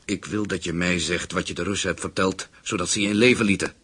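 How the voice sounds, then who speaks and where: A calculating, menacing male interrogator for a radio play. His voice sits low and is noticeably husky. He speaks in a measured, commanding way. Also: steady tone, fairly bright tone. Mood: cold menace. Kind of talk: radio drama acting.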